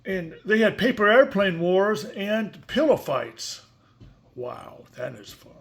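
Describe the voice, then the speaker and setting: A man's voice: sits high, very animated, slow pace, creaky. A man reading a picture book aloud expressively, with casual, chatty asides and questions to a young listener. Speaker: story reader.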